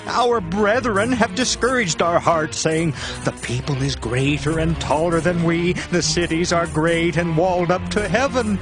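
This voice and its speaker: A man's voice: on the high side, unhurried, few pauses, close to an everyday voice, poor audio. A man playing an anxious Israelite doubter. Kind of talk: dramatized scripture reading. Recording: studio voice over music. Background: music.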